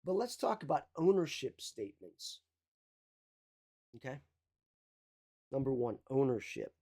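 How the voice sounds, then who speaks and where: A mindset coach giving an emphatic motivational talk, repeating key phrases, with a slight cough. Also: male voice, mid-range voice, big pitch swings, unhurried, dark tone.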